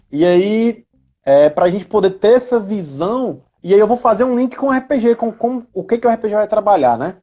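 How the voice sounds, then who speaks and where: A woman's voice: medium pitch, warm tone, husky. A woman with a Brazilian accent explaining things in a casual live chat, in a didactic way, often checking for agreement with little tag questions. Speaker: guest explaining role-playing games in education and work.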